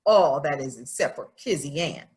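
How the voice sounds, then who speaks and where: A woman voicing a stern, ladylike schoolteacher from a children's storybook, with formal, measured phrasing. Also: deep voice, lively intonation, darkish tone, pitched below the performer's own voice. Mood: stern, disapproving.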